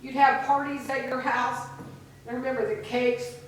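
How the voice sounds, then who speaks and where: A middle-aged woman stands up at a memorial service to share halting, heartfelt memories. Her voice sits a little high and reaches the mic from a distance. The church rings with a long echo. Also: little pitch movement, a little hiss or hum. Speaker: mourner sharing memories. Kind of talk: informal memorial remarks. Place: church sanctuary. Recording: echoey room, mic far off.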